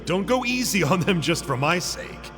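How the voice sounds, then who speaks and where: A sly, glib game character, a man who loves teasing children. His voice sits fairly high and swoops theatrically as he draws out his words at an unhurried pace. Music plays clearly behind him. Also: very animated, fairly bright tone. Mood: teasing, evasive. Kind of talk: video game character acting. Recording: long reverb, music behind.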